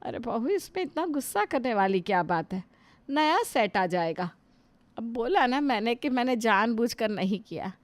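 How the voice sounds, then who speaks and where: A woman's voice: on the high side, sing-song, normal speed, no echo. A woman playing an elderly, put-upon mother-in-law in a family drama, complaining and lamenting to God. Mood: resentful. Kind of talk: Hindi family drama acting.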